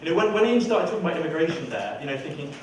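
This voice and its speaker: A man's voice: on the high side, big pitch swings, racing, darkish tone, muffled recording. A man speaking as a council candidate in a debate, emphatic and given to repeating words. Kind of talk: debate speech. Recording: echoey hall, far from mic, faint noise.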